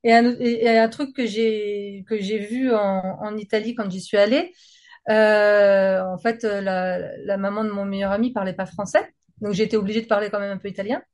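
A woman's voice: medium pitch, fairly even intonation, moderate pace, a little mellow. A woman from France with a French accent, chatting casually and talkatively in informal, slangy language. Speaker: singer, guest. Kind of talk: casual conversation.

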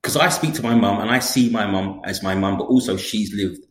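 A man's voice: on the low side, fairly even intonation, brisk. A man in his forties co-hosting a podcast, speaking in a reflective, casual way and laughing often. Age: 40s. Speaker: podcast co-host. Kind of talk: casual conversation. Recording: roomy echo.

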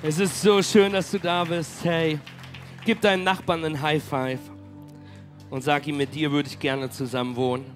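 Hoarse, high-voiced energetic pastor. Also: male voice, normal speed, soft background music. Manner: energetic, rallying the congregation. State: has a cold, hoarse. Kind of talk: sermon. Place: church hall.

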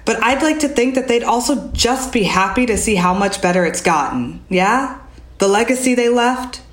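A woman's voice: on the high side, lively intonation, moderate pace, natural voice. A woman playing an idealistic, fired-up queer teenage activist with an American accent, speaking emphatically and casually in an audio drama. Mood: earnest, reassuring. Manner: emphatic, earnest, casual swearing. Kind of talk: naturalistic audio drama dialogue. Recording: roomy, echoey space.

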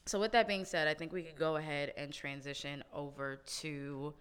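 Woman, podcast host, low pitch, crisp clear voice, relaxed and swears a lot. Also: normal speed. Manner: laid-back, swears casually, laughs often. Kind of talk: casual chat.

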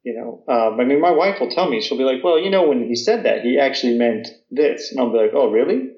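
A man's voice: mid-range voice, lively intonation, fast talker, roomy sound, muffled recording. An American man in his thirties talking casually, with lots of fillers, restarts and "you know". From United States. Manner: chatty, many fillers and restarts. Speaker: guest. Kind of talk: casual conversation.

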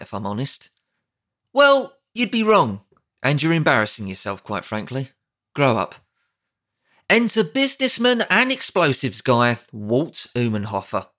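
A man's voice: mid-range voice, fairly bright tone. A British man narrating a comedic audiobook in a wry, sardonic, conversational way.

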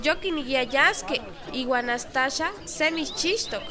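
A lively village woman. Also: high-pitched, measured pace, some chatter behind. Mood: friendly. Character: Remedios, a friendly village woman.

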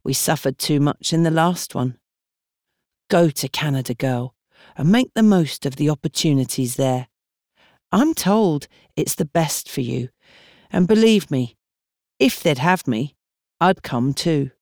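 A woman's voice: low voice, lively intonation, unhurried, long pauses, a little mellow, no echo, studio quality. Woman acting a weary, blunt old woman. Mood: weary, resigned.

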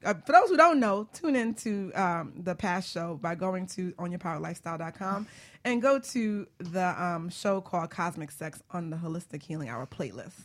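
A woman co-hosting a radio talk show, chatting casually in a playful, excitable, giggly manner. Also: fairly low pitch, normal speed.

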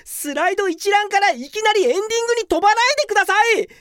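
A woman's voice: very high voice, very fast, no echo, clean studio sound. A woman playing an earnest, delusional young male office geek in a comedy radio drama, polite yet pompous and theatrical. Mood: indignant.

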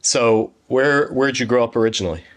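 A man's voice: medium pitch, brisk. A man hosting a conversational interview, talkative and enthusiastic. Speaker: host.

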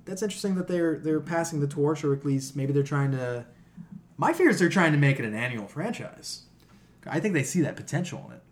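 A man's voice: a little high, expressive, a little fast. A man co-hosting a podcast in casual, irreverent, profanity-sprinkled chat about games. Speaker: podcast co-host.

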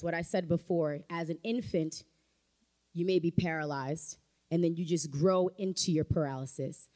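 A woman's voice: on the low side, dark tone. A woman preaching a sermon in a church, emphatic and rising to fervent calls of amen. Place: church sanctuary.

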